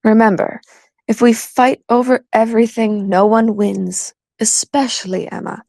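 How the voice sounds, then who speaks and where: A woman acts as a composed divorce attorney in an audio play. Her voice is creaky and slow, recorded dry. She advises firmly and practically, with a reassuring manner. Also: on the high side, little pitch movement, darkish tone, no room sound. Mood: businesslike. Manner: measured, firm, advisory. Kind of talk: community theatre audio play acting.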